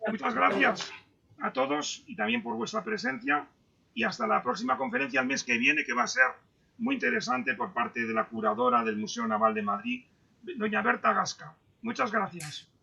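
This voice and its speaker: A man's voice: very high-pitched, big pitch swings, gravelly, bright, forceful tone, slightly rough. A man moderating an online Q&A, reading out messages and stumbling over his words on a video call. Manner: halting, stumbles and repeats words. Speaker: moderator reading audience questions. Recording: dull lo-fi video call audio.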